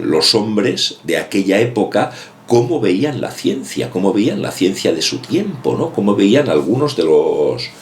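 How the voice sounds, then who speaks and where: A man's voice: deep voice, a little hiss or hum. A man giving a casual, rambling book commentary, hedging often and repeating himself. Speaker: book vlogger.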